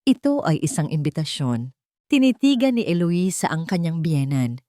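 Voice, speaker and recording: A woman's voice: low voice, lively intonation, moderate pace, mellow, no echo, studio quality. A woman narrating a scripted dramatic story. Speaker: audiobook-style story narrator.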